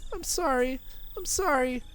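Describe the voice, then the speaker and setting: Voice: sits high, unhurried, fairly bright tone, slight background noise, pitched above the performer's own voice, rough recording. A reader putting on an eerie sweet-voiced teenage girl. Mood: pained pleading. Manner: sing-song, pleading, repeating itself. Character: sweet teenage girl turned menacing, eerily cheerful. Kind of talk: audiobook reading.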